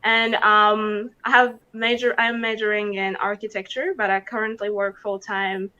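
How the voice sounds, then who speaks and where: Bright earnest woman on a lo-fi call. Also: mid-range voice, level tone, smooth. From Democratic Republic of the Congo. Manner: earnest, passionate, measured. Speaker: pageant contestant. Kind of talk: interview answers over video call. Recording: dull lo-fi call audio.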